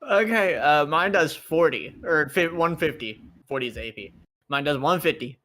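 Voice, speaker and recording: A man's voice: fairly high pitch, expressive, moderate pace, bright, forceful tone. A man playing a tabletop game, chatting casually and often repeating or rephrasing himself.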